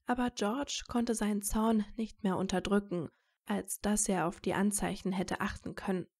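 A woman's voice: medium pitch, steady tone, normal speed, a little mellow. A woman reading scripted story narration as the narrator of an audio drama.

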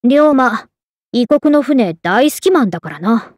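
High-voiced, blunt spirit girl. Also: lively intonation, a little slow, darkish tone, dry recording, studio quality. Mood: blunt, cheeky. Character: blunt, cheeky, otherworldly female companion spirit. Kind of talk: game character voice lines.

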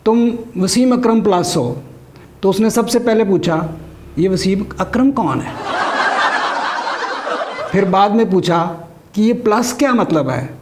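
A man's voice: high-pitched, expressive, a little slow, pauses often, background noise. A middle-aged man with a Pakistani accent delivering a scripted satirical comedy monologue as a stand-up comedian. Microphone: lapel mic.

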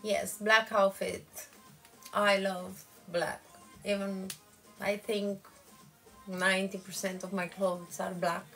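A woman in her thirties with a Romanian accent, streaming from her bedroom into a phone held close. She chats easily, answers viewer comments and asks them questions, with a medium pitch and a faint bed of music behind her. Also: flat delivery, slow, long pauses, poor audio. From Moldova. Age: 30s. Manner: chatty, answers comments, soft laughs. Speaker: livestreamer. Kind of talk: casual livestream chat with viewers. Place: bedroom in front of padded headboard, indoors. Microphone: phone camera at arm's length.

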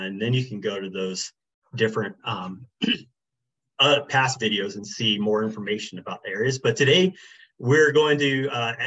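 A middle-aged man presenting a birding workshop over a video call, relaxed and casual, with many fillers like um and you know. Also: mid-range voice. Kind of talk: casual online workshop talk. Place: small room indoors. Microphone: video call webcam. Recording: slightly muffled video call audio.

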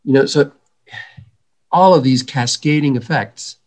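Earnest middle-aged man explaining calmly. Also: mid-range voice, measured pace. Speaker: environmental educator guest. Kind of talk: interview answers. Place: home room. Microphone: video call.

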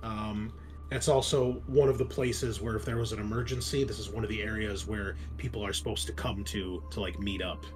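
A man narrating a tabletop roleplaying game as game master, improvising and explaining things in a halting way. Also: fairly low pitch, steady tone.

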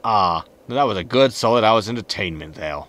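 A man's voice: fairly low pitch, animated, normal speed, a bit bright. A man playing an easygoing, teasing spaceship captain with a wry manner in a sci-fi comedy audio drama.

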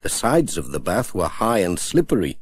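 A man reading a children's storybook aloud with gentle, expressive storytelling. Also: deep voice, moderate pace, husky. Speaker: audiobook narrator.

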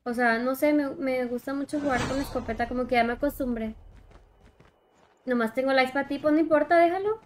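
A young woman with a high voice and a Mexican accent chats loosely with her stream while she plays. Her talk is slangy and drifts off mid-thought. Clear game noise of vehicles and helicopters runs behind her. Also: brisk, darkish tone. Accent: Mexican Spanish. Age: young adult. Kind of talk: casual livestream chat while gaming. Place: indoor room, alone. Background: mobile shooter game sounds, helicopter.

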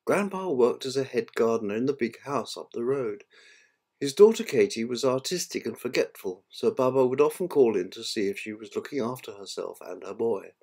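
An older man reads his own storybook aloud. His voice sits at a medium pitch, with the measured delivery of an amateur narrator rather than an actor. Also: expressive, darkish tone. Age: 60s or older. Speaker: author reading his own book.

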